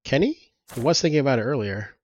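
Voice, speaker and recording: A man's voice: mid-range voice, lively intonation, fast, darkish tone, a little hiss or hum. A congested man casually commentating his own game matches, explaining his plans with lots of fillers. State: congested, slightly stuffy.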